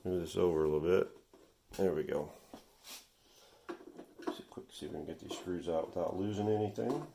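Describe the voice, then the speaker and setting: A man with a low, gravelly voice gives a casual hands-on demonstration. He talks off the cuff, half to himself, as he works. The room is echoey, with faint noise behind him. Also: moderate pace, pauses often. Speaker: vintage radio repair video host. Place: indoor workshop.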